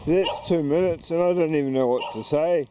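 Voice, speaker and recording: Man, New Zealand accent, hesitant rambling vlog, very mellow dark tone, muffled lo-fi sound. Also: fairly high pitch, a little hiss or hum. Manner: hesitant, halting, slow and wandering. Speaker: YouTuber. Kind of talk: rambling walk-and-talk vlog. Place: outdoors walking around town.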